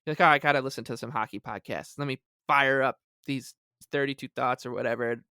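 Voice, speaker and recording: A man's voice: medium pitch, steady tone, a little fast, bright, forceful tone, smooth, no room sound, clean studio sound. A man co-hosting a sports podcast, talking casually and reading aloud with wry, sarcastic emphasis. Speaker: podcast co-host.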